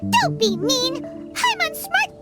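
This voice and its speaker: A woman voicing a small childlike fairy companion with a squeaky voice in a video game. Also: very animated, slow, exaggerated voice. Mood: indignant. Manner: exclamatory, refers to self in third person. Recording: music behind the voice.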